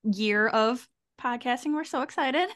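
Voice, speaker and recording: High-voiced giggly woman. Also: a little slow, fairly bright tone. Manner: easygoing, giggly. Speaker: podcast guest and co-host of another podcast. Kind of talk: casual chat.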